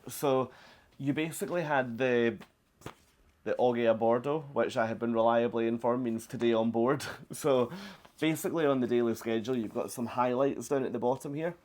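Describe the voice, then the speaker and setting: A man's voice: mid-range voice, moderate pace, darkish tone. A young British man from Britain, chatting casually to camera as a travel vlogger from a cruise ship balcony, upbeat and rambling with fillers.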